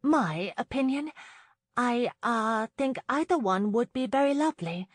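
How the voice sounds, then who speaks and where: A woman's voice: a little high, expressive, a little slow, no room sound, studio quality, voice pushed up. A woman voicing a nervous young countess who falters and stammers politely in an audiobook novel. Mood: flustered, uncertain. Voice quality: breathy murmuring, faltering. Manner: hesitant, stammering.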